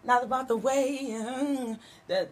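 A hoarse woman in her thirties, a singer chatting as a guest in a small radio studio, laughing and calling out praise. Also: sits high, takes it slowly. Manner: laughing, gospel-tinged exclamations. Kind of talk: casual radio chat. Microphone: studio boom mic with pop filter.

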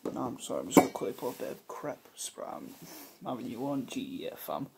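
Chilled roadman barber, played by a young man, ASMR roleplay: hushed, slangy, heavy vocal fry, loud background hiss. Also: medium pitch, sing-song, quick pace. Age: young adult. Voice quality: creaky, hushed. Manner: laid-back, slangy street talk. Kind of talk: ASMR roleplay, softly spoken and whispered. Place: small living room or bedroom indoors. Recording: noisy hissy home recording, clicks.

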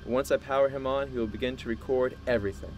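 Audio drama scientist, male actor: man, mid-pitched, grave and measured, proud of his work, faint music underneath. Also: steady tone, natural voice, rough recording. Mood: proud, explaining.

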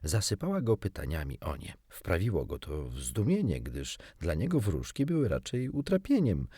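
A male audiobook narrator with a low voice, telling a children's story expressively. His intonation ranges very widely, and the recording is close and dry. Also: huge pitch swings, normal speed, mellow, husky, dry recording.

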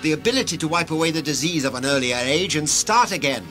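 Imperious titled ruler played by a man, animated delivery. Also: on the high side, quick pace, faint music behind. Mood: proud, persuasive. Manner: formal, pompous, imperious. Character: self-assured titled male director of a future ruling cartel. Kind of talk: audio drama acting.

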